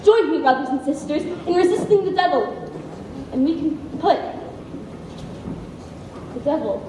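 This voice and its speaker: High-voiced zealous mission preacher played by a girlish performer. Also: expressive, a little slow, recorded from a distance. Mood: preaching, fervent. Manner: declamatory, exhorting. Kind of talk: school musical stage acting. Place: school stage, recorded from the audience. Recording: echoey noisy school auditorium from afar.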